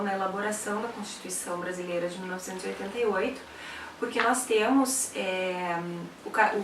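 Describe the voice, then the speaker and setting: A woman lays out legal points in a careful, academic way during an interview. She is recorded at some distance from the microphone in an echoey room. Faint background noise sits under her voice. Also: medium pitch. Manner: measured, academic explanation. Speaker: expert interviewee. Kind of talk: explanatory interview. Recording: roomy, slightly distant mic.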